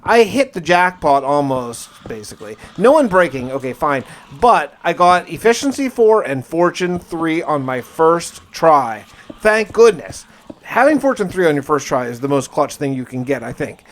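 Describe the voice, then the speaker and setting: A man's voice: on the high side, very animated, moderate pace. A man hosting a gaming video, giving casual first-person commentary with folksy exclamations and mild slang.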